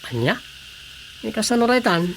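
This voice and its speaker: Radio comedy lead: exasperated Ilocano village councilor, creaky yet bright, forceful voice, always questioning and exclaiming. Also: male voice, high-pitched, sing-song, normal speed, a little hiss or hum, exaggerated voice, low-quality recording. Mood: baffled. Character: male village councilor, exasperated comic everyman. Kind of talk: radio comedy-drama acting.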